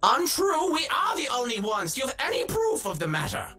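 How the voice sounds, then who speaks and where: A man's voice: extremely high pitch, huge pitch swings, brisk, sharp tone, soft background music, exaggerated voice. A man voicing a bossy, irritable alien captor who scolds and gets flustered, in a Minecraft roleplay. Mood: indignant. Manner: scolding, overwrought, easily flustered. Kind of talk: improvised Minecraft roleplay acting.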